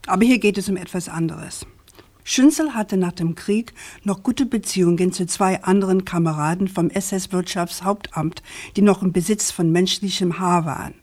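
An actress playing a persistent, assertive journalist in a radio crime drama, speaking in an articulate, pointed way. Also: female voice, fairly low pitch, normal speed, a little mellow, close to an everyday voice. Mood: grave, pointed.

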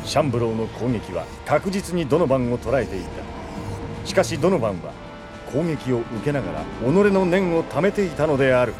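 A man's voice: medium pitch, sing-song, a little fast, over music, lightly acted. A man playing an intense storyteller, delivering formal, urgent scripted narration for an audio drama. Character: brisk, intense male storyteller of battle scenes.

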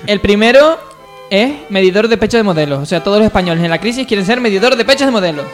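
A man's voice: high-pitched, lively intonation, quick pace. A man with a Spain Spanish accent chatting casually as co-host of a comedy radio show. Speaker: radio show co-host presenting a listener segment. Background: faint music.

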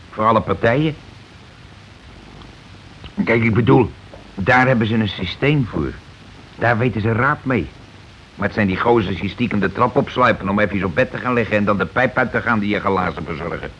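A man plays a grumbling middle-aged husband in a radio drama, a working man full of his own importance. His voice sits fairly low, and he is sarcastic and blustering. It comes through a muffled, slightly hissy old recording. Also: fairly bright tone, natural voice. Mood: grumbling, scheming. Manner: sarcastic, blustering, evasive, complaining. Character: grumbling, self-important working-class husband, a transport worker. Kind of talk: Dutch radio play acting.

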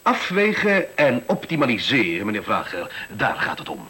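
A man's voice: mid-range voice, wildly swooping pitch, normal speed, sharp tone, husky, full character voice. An actor in a radio play voicing a smug, condescending male school director who talks glibly about efficiency and interrupts himself. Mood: self-assured lecturing. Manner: condescending, glib, self-interrupting. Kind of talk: radio drama acting, conversational monologue. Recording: hissy, noisy old radio recording.

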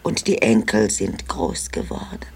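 An actress playing a frail, tender old grandmother in a radio drama, forgetful and resigned, her voice gravelly and half-whispered. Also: a bass voice, very animated, heavily acted. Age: older. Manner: slow, musing.

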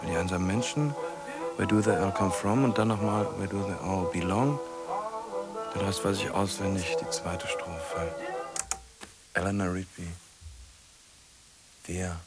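An actor in a radio play playing a lonely, brooding man who mutters haltingly to himself in fragments. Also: deep voice, fairly even intonation, loud music behind the voice. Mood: absorbed muttering. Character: lonely, brooding man muddling through translating a pop song.

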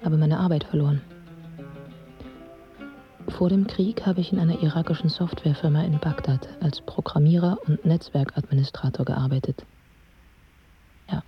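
An actress playing a reflective, wry young Iraqi woman, narrating a radio drama in a measured, intimate way. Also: low-pitched, fairly even intonation, highs cut off, soft background music, close to an everyday voice.

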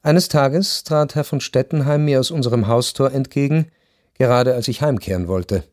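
A reflective husband played by a man narrates a radio play. His middle-pitched voice is measured and restrained, holding back hurt, in a very dry close recording. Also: darkish tone, no room sound, natural voice. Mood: matter-of-fact. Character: reflective, wounded husband recounting his past. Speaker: first-person narrator.

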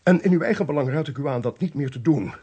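An actor playing a smug, sly, domineering government investigator in a Dutch radio play, pompous and precise. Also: male voice, a little high, lively intonation, fast, warm tone, husky, muffled recording. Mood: stern, commanding.

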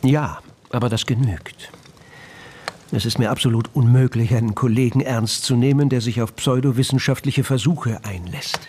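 Male actor as opinionated gentleman scientist, medium pitch, dismissive, self-assured, radio drama, faint background noise. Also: normal speed, natural voice.

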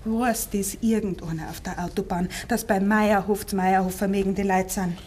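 An actress playing an earnest, determined young country woman with a Bavarian accent in a 1950s radio play, speaking in a measured, insistent way. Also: medium pitch, fairly even intonation, a little mellow, close to an everyday voice. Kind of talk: 1950s German dialect radio play acting. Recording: 1950s radio recording with faint hiss.

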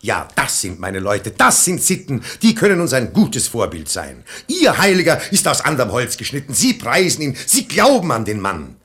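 An actor playing a reasonable, ironic middle-aged brother-in-law in a German verse radio drama, eloquent and argumentative. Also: male voice, medium pitch, huge pitch swings, moderate pace, few pauses, fairly bright tone. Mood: earnest persuasion. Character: sensible, ironic brother-in-law reasoning against false piety.

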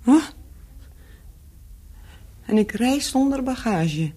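Very husky, world-weary Frenchwoman. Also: fairly high pitch, expressive, dark tone, exaggerated voice. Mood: curious, guarded. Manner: slow, guarded, laconic. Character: wary, worldly Frenchwoman, proud and curious. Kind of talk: radio play acting.

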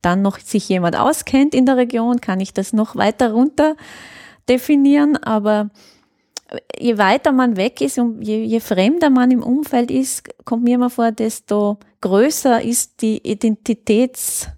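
A woman's voice: a little high, unhurried, dark tone, no room sound, studio quality. A woman explains things thoughtfully in a conversational interview, often searching for words. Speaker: interview guest, youth worker.

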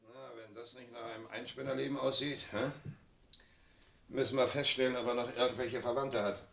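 A man's voice: mid-range voice, flat delivery, a little fast, creaky, a bit bright, recorded from a distance. A man playing a blunt, observant police assistant in a German radio crime play, casual and wry in manner. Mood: wry, matter-of-fact. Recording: roomy, off-mic, noisy old radio recording.

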